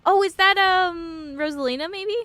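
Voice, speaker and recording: A woman's voice: extremely high pitch, moderate pace, sharp tone, dry recording. A woman doing casual live let's play commentary as a game streamer, playful and reactive, swearing freely. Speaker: game streamer reading dialogue aloud.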